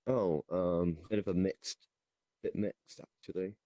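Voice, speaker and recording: A young man with a very deep, somewhat mellow voice improvises comedy in casual chat. He speaks deadpan and hesitantly, and the sound is very dry, with no room echo. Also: measured pace, lo-fi sound. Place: online voice chat in a virtual reality game.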